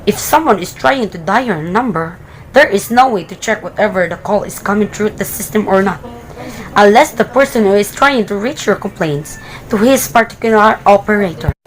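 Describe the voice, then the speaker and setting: A woman's voice: mid-range voice, lively intonation, moderate pace, natural voice, poor audio. Woman acting a formal, detached telephone operator. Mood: polite, detached. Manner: formal, procedural, impassive. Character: polite, unhelpful female telephone switchboard operator. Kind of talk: amateur radio play acting.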